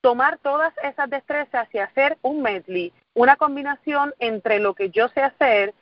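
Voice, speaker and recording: A woman's voice: high-pitched, normal speed, no echo, dull audio. A Puerto Rican woman in her forties giving a motivational presentation, earnest and often repeating words for emphasis while asking the audience questions. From Puerto Rico. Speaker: motivational speaker.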